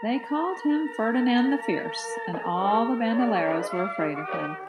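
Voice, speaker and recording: A woman reading a children's picture book aloud like a teacher, with deliberate, emphatic storytelling. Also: on the high side, lively intonation, dull audio. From United States. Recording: loud instrumental music under the voice. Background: instrumental band music.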